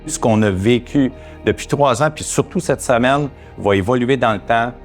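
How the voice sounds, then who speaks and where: A man's voice: medium pitch, moderate pace, soft background music. A man gives an interview statement as a member of school staff.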